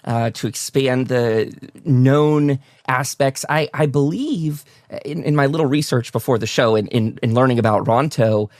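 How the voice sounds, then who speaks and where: A man's voice: mid-range voice, measured pace, a little mellow, no echo, clean studio sound. A man hosting a casual interview show, talking in an enthusiastic, effusive way. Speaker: talk show host.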